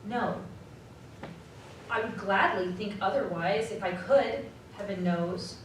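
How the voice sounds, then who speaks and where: A woman's voice: medium pitch, close to an everyday voice. Woman acting a sorrowful young fiancée, echoey stage. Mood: grieving, resolute. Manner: earnest, measured, formal phrasing. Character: sorrowful young fiancée releasing her money-obsessed lover. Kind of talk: live radio drama acting, period dialogue. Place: live community theatre stage. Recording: very echoey live hall, distant, faint noise.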